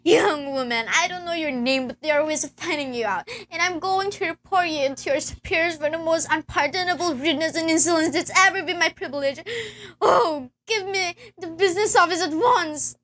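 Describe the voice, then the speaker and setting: A woman's voice: extremely high pitch, a little fast, fairly bright tone. A young woman playing a frantic, demanding, nervous married woman in a radio play, agitated, stammering and tearful. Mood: indignant, exasperated. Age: teenager. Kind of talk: stage-like radio play acting.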